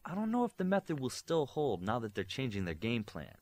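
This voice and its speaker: A man's voice: medium pitch, wildly swooping pitch, a little fast, a little mellow, pitched above the performer's own voice. A man voicing a busy, methodical female tech analyst in an audiobook, detailed and businesslike. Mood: matter-of-fact.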